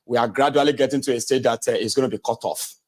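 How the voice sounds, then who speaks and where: A man's voice: mid-range voice, fast, a little mellow. A man with a Nigerian accent hosting a casual live political phone-in, speaking emphatically and repeating his phrases. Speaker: host of a political call-in show.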